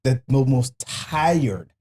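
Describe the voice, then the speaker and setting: Man, mid-pitched, lively intonation, slangy, joking, sweary podcast chat. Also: drawn-out words. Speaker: podcast speaker. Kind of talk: casual podcast banter.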